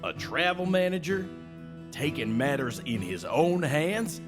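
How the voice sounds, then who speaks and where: A folksy, aggrieved Southern salesman in an audio drama, needling and sly. His pitch swings widely over colourful turns of phrase, with faint music behind him. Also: sing-song, unhurried. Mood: indignant, scornful. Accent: American South. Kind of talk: scripted audio drama acting.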